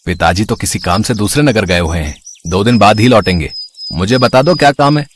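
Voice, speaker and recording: Man playing a low-voiced, naive young barber. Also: expressive, fairly quick, a little hiss or hum, natural voice. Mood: eager. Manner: boastful, earnest. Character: foolish, overconfident young barber's son, eager and naive. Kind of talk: Hindi animated moral story dub.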